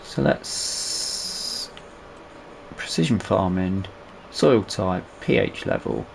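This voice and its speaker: Low, creaky British man over engine noise. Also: expressive, drawn-out words, low-quality recording. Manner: musing aloud to himself. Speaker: gaming video host. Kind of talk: casual let's-play commentary.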